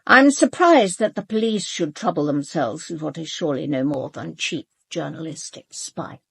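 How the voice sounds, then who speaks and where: A woman's voice: deep voice, lively intonation, moderate pace, vocal fry, husky, exaggerated voice. An actress playing a proud, elderly upper-class British mother in a radio drama, speaking formally and precisely with controlled, cool dismissiveness. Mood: haughty, controlled. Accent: upper-class British English. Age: older. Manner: formal, clipped, haughty, precise.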